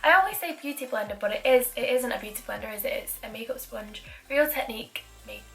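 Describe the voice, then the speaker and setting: A woman's voice: a little high, quick pace, vocal fry, fairly bright tone, soft background music, poor audio. A young woman with a Manchester accent, chatting through a makeup tutorial with self-deprecating asides. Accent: Mancunian. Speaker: beauty vlogger.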